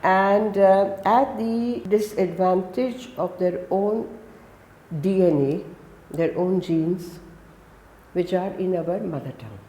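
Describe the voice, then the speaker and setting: A woman in her seventies, a guest from India who speaks Gujarati as her first language. She talks in English with an Indian accent and gives emphatic answers in quick lists. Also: medium pitch, mellow. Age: 70s. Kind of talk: conversational interview. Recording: roomy echo.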